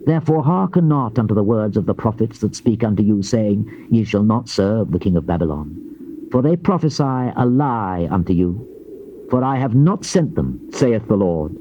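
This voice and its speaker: A man narrating a dramatized Bible reading in a solemn, measured, emphatic oratorical delivery. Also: lowish voice, very animated. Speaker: scripture narrator. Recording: dull noisy old cassette tape.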